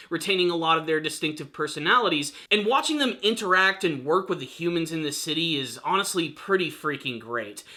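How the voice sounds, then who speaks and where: Bright, animated young man reviewing with enthusiasm. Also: on the high side. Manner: upbeat, emphatic, earnest fan delivery. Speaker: video reviewer and channel host. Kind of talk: enthusiastic film review commentary to viewers.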